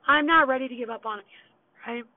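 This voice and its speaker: A woman hosting her own podcast, venting bluntly and profanely in an unscripted solo rant, her voice hoarse and going. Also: sits high, fairly quick. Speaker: podcast host. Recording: tinny phone-quality recording.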